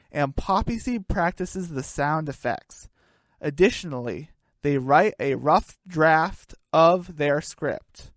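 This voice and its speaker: A woman's voice: on the low side, no echo. A woman narrating a scripted comic radio play in a storytelling style.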